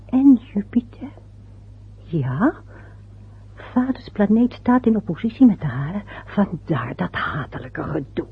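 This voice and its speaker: A fussing middle-aged mother from an old radio play, muttering and chatting to herself. Her voice is very breathy and husky, and the lo-fi recording sounds muffled. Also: mid-range voice, big pitch swings, takes it slowly, full character voice. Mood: musing.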